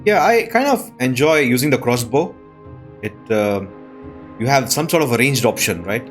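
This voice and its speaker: A man giving casual, low-key gameplay commentary, musing aloud as he plays. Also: lively intonation, normal speed. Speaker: gaming video host. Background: game music.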